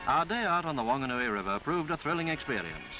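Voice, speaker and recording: A man reading a scripted 1950s newsreel travelogue in a formal, florid, measured way. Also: a little high, very animated, poor audio. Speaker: newsreel narrator. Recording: 1950s film soundtrack with background music. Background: music.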